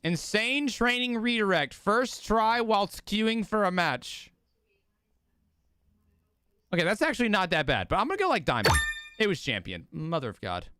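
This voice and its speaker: A man's voice: high-pitched, big pitch swings, moderate pace, pauses often, bright, forceful tone, clean tone, no echo, clean studio sound. A man livestreaming casually to his viewers as host, talking in hyped, slangy bro speak with swearing, his voice strained from overuse. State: voice strained, losing it. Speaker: live streamer hosting a watch party.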